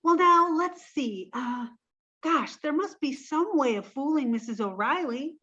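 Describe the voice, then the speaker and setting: A woman's voice: high-pitched, animated, a little slow, pushed and bright, dull audio, heavily acted. A woman acting in an old-time radio comedy as a naive, earnest, scatterbrained young roommate who is sweet and guileless. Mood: earnest, pleased.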